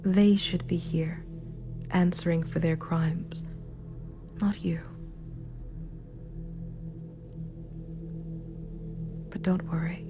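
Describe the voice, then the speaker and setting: Low-voiced villain queen played by a woman, hushed and breathy, slow formal delivery, somber and sympathetic, ASMR monologue, spooky music behind. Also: fairly even intonation. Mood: cold, sorrowful. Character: remorseful, sympathetic villain ruler, once a hero. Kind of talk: scripted ASMR roleplay monologue. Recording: clear background music. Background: dark, spooky background music.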